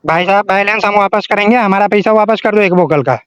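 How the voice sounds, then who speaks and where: A man in a heated phone argument, insistent and quick to talk over the other person. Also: sits high, fairly bright tone, clean tone, no echo. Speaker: disgruntled music client on a phone call. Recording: dull, lo-fi phone call recording. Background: another man arguing on the line.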